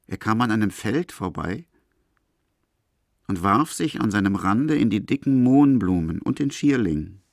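Man as low, creaky narrator of a grim tale. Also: dry recording, clean studio sound. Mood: measured narrating. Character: male third-person narrator of a grim tale about a released madman. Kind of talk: literary radio-play narration.

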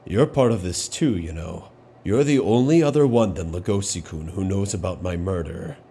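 Man voicing a deep, mellow, menacing bear. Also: normal speed, a little hiss or hum. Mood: quietly menacing. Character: brooding, menacing male bear, a murderous upperclassman student. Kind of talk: manga voice-over acting. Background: music.

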